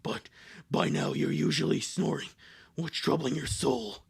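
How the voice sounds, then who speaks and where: A man voicing a gloomy, deadpan young goth sister in a fan cartoon dub, with a gravelly, husky voice and measured delivery. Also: a little high, heavily acted. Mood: subdued.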